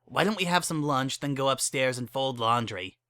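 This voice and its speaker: A man voicing an affectionate, playful unicorn mare, gentle and teasing, in a dramatic reading of fan fiction. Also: mid-range voice, animated, moderate pace, no room sound. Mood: tender, teasing.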